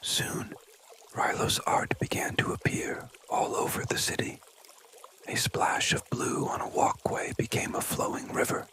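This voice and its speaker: A storyteller whispers a children's bedtime tale in ASMR style. The whisper is bright and breathy, with no voiced tone. Phrases come deliberately, in short pieces with frequent pauses.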